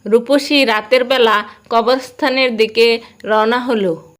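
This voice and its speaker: A woman's voice: fairly high pitch, steady tone, takes it slowly. A woman reading scripted narration for a cartoon story.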